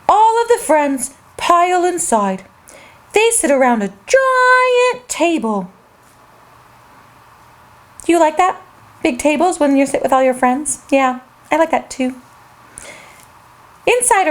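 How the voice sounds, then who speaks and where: A woman's voice: sits high, sing-song, a little slow, long pauses. A woman hosting a children's show, reading a storybook aloud in a sing-song way and talking straight to the kids.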